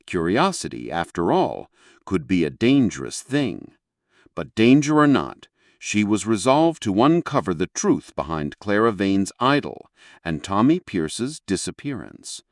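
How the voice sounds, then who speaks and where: A man giving slow, deliberate scripted narration of a mystery, recorded from a distance. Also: on the low side, big pitch swings, no echo, studio quality. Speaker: audiobook narrator.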